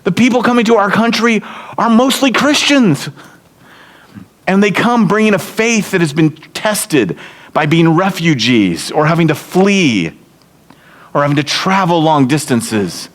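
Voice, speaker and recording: A man's voice: high-pitched, sing-song, a little slow. A man giving a storytelling sermon at a church event, building to emphatic points. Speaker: seminary leader speaking at church event. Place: church meeting hall.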